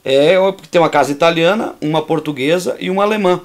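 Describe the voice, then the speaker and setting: Outspoken filmmaker man, raised pitch. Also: quick pace. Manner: outspoken, opinionated, indignant; restarts and repeats words while talking freely. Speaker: filmmaker and actor. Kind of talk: commentary over a making-of.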